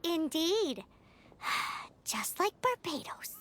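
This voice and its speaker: A woman voicing a small, excitable, chirpy childlike fairy companion in an anime-style video game. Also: very high voice, very slow, full character voice. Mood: matter-of-fact. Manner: refers to self in third person, exclamatory.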